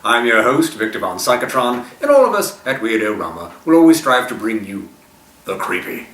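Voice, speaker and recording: A man hosts in a theatrical, mock-spooky style with a scripted delivery. His voice is bright and projected, heard a little way from the microphone in an echoing room. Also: medium pitch, very animated, moderate pace, a little hiss or hum. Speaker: show host. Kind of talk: theatrical horror-host scripted introduction. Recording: roomy, echoing, distant from mic.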